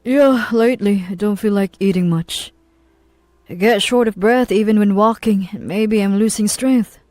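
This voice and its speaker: A woman's voice: mid-range voice, moderate pace, a little mellow, no echo, lightly acted. Woman voicing a weary ailing husband, dry sound.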